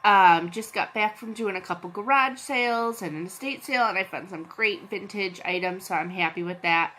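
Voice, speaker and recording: A woman's voice: medium pitch, normal speed, sharp tone, a little hiss or hum, low-quality recording. A woman with a cold chatting casually to her channel's viewers, scattered and full of ums and restarts. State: has a cold. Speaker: channel host, reseller. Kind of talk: casual vlog chat.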